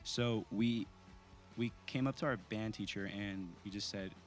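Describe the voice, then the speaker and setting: A low-voiced teenage guy from the East Bay talks loosely about his band, drifting through fillers and half-finished thoughts. His tone stays relaxed and unhurried, with faint music somewhere behind him. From East Bay, California. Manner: relaxed, rambling with many fillers. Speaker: band singer and guitarist. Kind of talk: casual interview chat.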